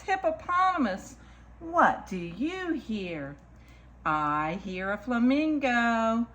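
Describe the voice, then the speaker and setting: Slow-talking woman reading to young children. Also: on the high side, a bit bright. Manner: slow, deliberate, singsong repetition; addresses small children directly with simple asides. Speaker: children's story time reader. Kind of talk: picture book read-aloud for young children.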